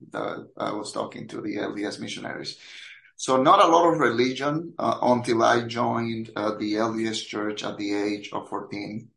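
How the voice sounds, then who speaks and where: Male podcast guest, 50s, native Spanish speaker with Dominican accent. Lowish, gravelly, halting delivery, roomy echoey sound. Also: a bit bright. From Dominican Republic. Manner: hesitant, halting. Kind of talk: casual personal storytelling.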